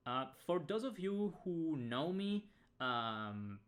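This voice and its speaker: A man's voice: a little high, big pitch swings, slow pace. Hesitant Italian-accented man. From Italy. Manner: hesitant, many fillers and restarts. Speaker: game designer reviewing a game. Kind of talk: casual unboxing talk. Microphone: webcam.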